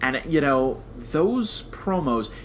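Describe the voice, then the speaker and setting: An American man co-hosting a podcast in casual, animated fan chat that slips into mock quotes. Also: a little high, slow, slight background noise. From United States. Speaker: podcast co-host.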